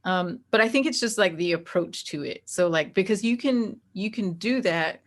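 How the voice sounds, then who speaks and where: A woman's voice: medium pitch, normal speed. A woman speaking as an interview guest in a casual, conversational way, thinking aloud as she explains things. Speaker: interview guest, tabletop game master.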